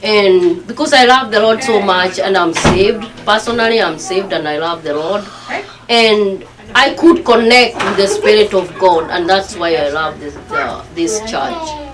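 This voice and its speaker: A woman's voice: medium pitch, a little slow, a crowd in the background. A middle-aged woman with a Kenyan accent gives a personal testimonial about herself. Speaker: church member giving testimonial. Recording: distant mic, faint music.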